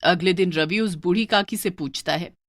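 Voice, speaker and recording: A woman's voice: on the low side, moderate pace, no room sound, clean studio sound. A woman narrating a scripted horror story.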